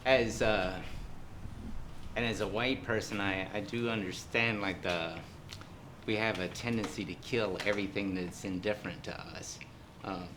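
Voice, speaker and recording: A man in the audience making a halting comment, full of fillers like "um" and "like". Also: on the low side, fairly even intonation, background noise. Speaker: audience member. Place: community room.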